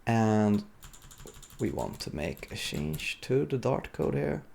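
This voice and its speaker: A man presenting a live coding demo in a low, heavily creaky voice. His delivery is husky and moves around a good deal in pitch. He talks informally, hesitating and thinking aloud as he works. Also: very animated, slow pace, darkish tone. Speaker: software developer giving a conference talk.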